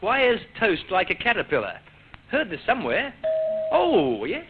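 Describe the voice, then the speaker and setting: Animated high-voiced male radio host. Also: moderate pace. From Australia. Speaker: radio quiz show host. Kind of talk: old-time radio stage show hosting for children. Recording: noisy, dull old radio recording with audience. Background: studio audience, heavy noise.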